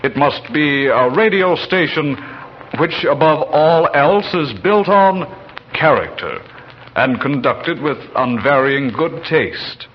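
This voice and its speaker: Casting: high-minded publisher, very slow solemn dictation, expressive swings, dull noisy vintage radio. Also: male voice. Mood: solemn, earnest. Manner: deliberate, solemn, halting dictation. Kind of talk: 1940s radio dramatization.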